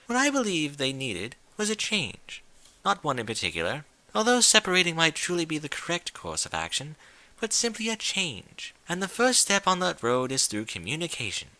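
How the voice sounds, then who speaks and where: Storybook casting: male reader as refined lady pony, raised pitch, bright tone, genteel and gently teasing. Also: huge pitch swings, normal speed, dry recording, full character voice. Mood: earnest. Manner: genteel, formal diction with French flourishes.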